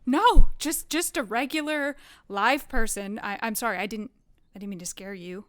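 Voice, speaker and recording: A woman's voice: fairly high pitch, normal speed, a bit bright, close to an everyday voice. An actress playing a curious, skeptical young woman journalist who stammers when flustered and asks probing, wry questions. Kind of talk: audio drama table read.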